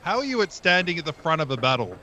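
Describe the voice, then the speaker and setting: A man chatting as a player in a tabletop game, excitable and halting. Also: a little high, muffled recording, a crowd in the background. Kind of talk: casual game chat.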